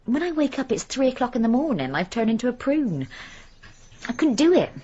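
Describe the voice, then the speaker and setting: An actress playing a blunt, confiding working-class village wife in a radio drama. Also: female voice, on the high side, very animated, a little fast, dark tone, husky, muffled recording. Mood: wistful, resigned.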